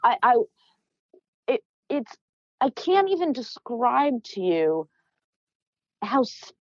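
Loud, animated middle-aged woman. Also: a little high, slow, no room sound, dull audio. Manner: loud, animated, gets worked up. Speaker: podcast guest, former team executive. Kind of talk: storytelling in conversation.

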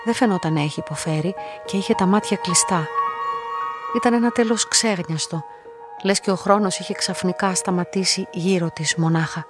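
An actress playing a lonely, quietly curious young woman in a radio play, telling her story in a measured, introspective way. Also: on the low side, music in the background, close to an everyday voice. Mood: reflective. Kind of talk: literary radio play narration and dialogue.

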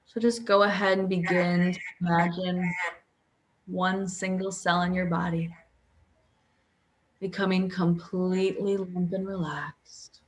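Slow-paced woman guiding sleep hypnosis. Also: lowish voice, poor audio. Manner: calm, drawn-out pauses, audible breaths and hums. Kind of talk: guided sleep hypnosis, soothing relaxation script.

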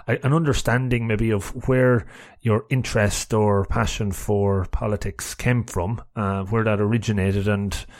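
A man hosts a podcast interview, putting earnest, searching questions to his guest. His voice sits fairly low, and his tone is conversational. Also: fairly even intonation, a little slow, hardly any pauses, darkish tone. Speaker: podcast host.

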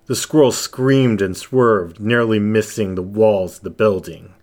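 A man's voice: lowish voice, steady tone, unhurried, a little mellow. A man narrating a scripted story as its reader.

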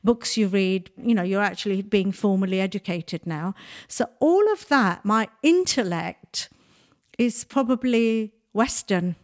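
Lively older woman telling stories. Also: medium pitch, unhurried. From Nigeria. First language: Bengali. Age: 60s. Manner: lively storyteller, laughs often. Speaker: guest. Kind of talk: casual interview chat.

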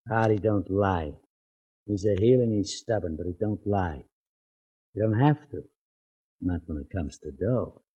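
Dark, gravel-low radio racketeer. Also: male voice. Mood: cool menace. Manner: slangy, deliberate, threatening. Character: tough, menacing male racketeer, coolly self-assured. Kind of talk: 1940s radio crime drama acting. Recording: muffled old 1940s radio recording.

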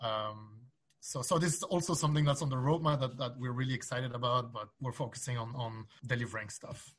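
A man from Belgium speaking as an interview guest, explaining in a casual, halting way. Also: medium pitch. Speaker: interview guest, startup founder.

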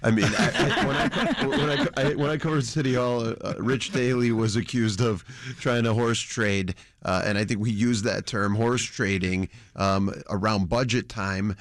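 A man's voice: on the low side, moderate pace, hardly any pauses, no echo. A man on a radio news roundtable in a studio, talking in a wry, storytelling way and chuckling as he goes. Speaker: reporter panelist.

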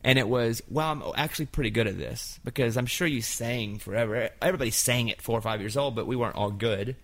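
A man's voice: medium pitch, fast, fairly bright tone. A man hosting a casual interview, asking long rambling questions and tossing in playful jokes. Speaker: host and comedian.

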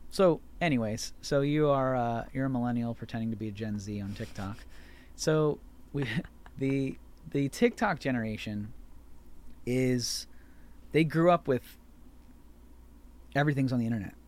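A man's voice: medium pitch, normal speed, pauses often, darkish tone. A man in his mid-thirties hosting a podcast, talking in a rambling, chatty way that keeps circling back and correcting itself. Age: 30s. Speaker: podcast host. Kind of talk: casual chat.

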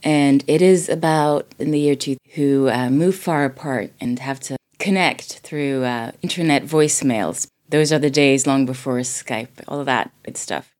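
A woman's voice: a bass voice, a little slow, few pauses, darkish tone. A woman in her thirties from Sweden, a native Swedish speaker, chatting casually as a podcast guest. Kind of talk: casual chat.

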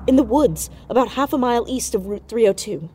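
A woman's voice: a little high, animated, brisk, a little mellow, a little hiss or hum, natural voice. A woman playing an earnest, impulsive, stubborn teenage girl sleuth in a naturalistic audio drama. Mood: urgent, earnest.

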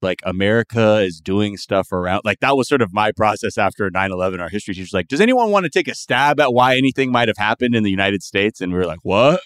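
A man with a fairly low voice chatting on a podcast in a laid-back, profane way. He is recorded dry and close. He tells loose stories and swears casually. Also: animated, fast, hardly any pauses, fairly bright tone, no echo. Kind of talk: casual comedic chat.

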